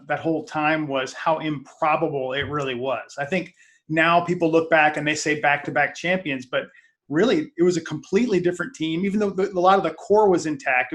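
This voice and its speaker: Male guest, higher-than-average voice, casual, reflective, sports fan talk. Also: fairly bright tone. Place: remote video call.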